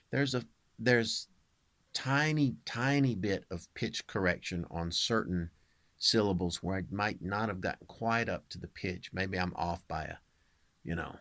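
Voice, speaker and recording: Low-voiced man, casual and rambling. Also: a bit bright, no room sound. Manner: rambling, digressive, with dry joking asides. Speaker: amateur singer and home-recording video creator. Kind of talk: casual explanatory vlog, screen walkthrough.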